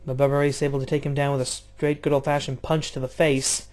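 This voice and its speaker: A man doing casual play-by-play of a video game wrestling match, jumping between loud outbursts and low, offhand, swearing asides. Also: little pitch movement, a little fast. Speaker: play-by-play commentator.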